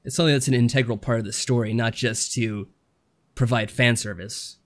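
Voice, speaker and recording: Very fast-talking man in podcast chat. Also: mid-range voice, fairly even intonation. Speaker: podcast co-host. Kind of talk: casual podcast chat reviewing games.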